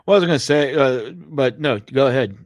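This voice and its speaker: A man's voice: mid-range voice, fairly quick, dry recording. A man co-hosting a podcast, talking in a casual, conversational way. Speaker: podcast co-host. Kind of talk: casual chat.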